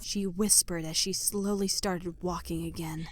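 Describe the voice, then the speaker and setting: Female narrator, slightly low register, dramatic story reading with hushed phrase endings. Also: fairly even intonation, a little slow. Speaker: narrator of a fan fiction audio production. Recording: background music under voice. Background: music.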